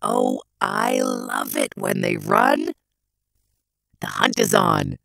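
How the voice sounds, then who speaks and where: Woman playing a slow, creaky, taunting female alien. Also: very deep voice, wildly swooping pitch, music in the background, full character voice. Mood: gloating, predatory. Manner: mock-innocent, taunting, drawn-out delivery. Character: gleeful sinister female alien posing as an office worker. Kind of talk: audio drama acting.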